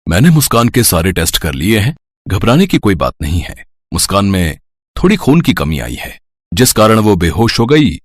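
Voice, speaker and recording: A man acting a calm, helpful figure in a family story, speaking reassuringly. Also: fairly low pitch, moderate pace, dry recording. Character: calm, helpful men of a family tale, doctor and brother.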